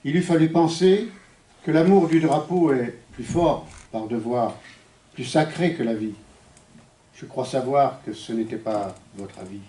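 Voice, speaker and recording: A man recites poetry in a solemn, declamatory way, in measured phrases with deliberate pauses. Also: medium pitch, mellow. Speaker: poet reciting a poem. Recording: echoey, distant from the mic.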